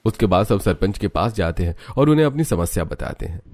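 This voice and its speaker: A man's voice: lowish voice, animated, brisk, darkish tone. A man narrating a scripted cartoon village story as its storyteller.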